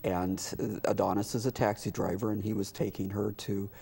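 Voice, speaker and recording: Low, gravelly, husky man chatting. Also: fairly even intonation, normal speed, mellow. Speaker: interview guest, community theater director. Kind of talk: casual interview talk.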